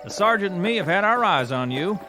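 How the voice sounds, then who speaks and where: A man's voice: high-pitched, lively intonation, a little fast, over music. A man playing a sarcastic, cocky, teasing National Guard tank corporal in a radio drama.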